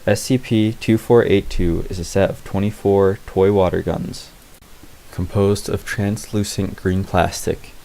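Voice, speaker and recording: A man giving a deadpan, clinical and formal narration of a scripted fictional report. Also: sits low, a little slow, vocal fry, low-quality recording. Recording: faint hiss behind the voice.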